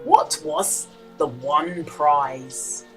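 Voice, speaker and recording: A woman reading a children's storybook aloud as a storyteller, with dramatic emphasis and deliberate pauses for young listeners. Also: low-pitched, wildly swooping pitch, gravelly, faint music behind. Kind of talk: expressive children's storybook read-aloud with quiz.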